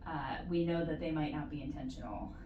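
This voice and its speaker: A woman giving measured, explanatory advice as an interview guest, in a conversational way with stretched emphasis. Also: deep voice, highs cut off. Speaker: interview guest, university postdoctoral support staff. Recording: roomy echo, distant mic, faint noise.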